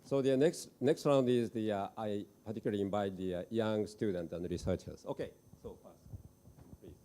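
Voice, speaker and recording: Very dark-voiced, halting male moderator. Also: on the low side, slight background noise. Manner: halting, fragmented English. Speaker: session moderator. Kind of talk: unscripted chairing of a panel.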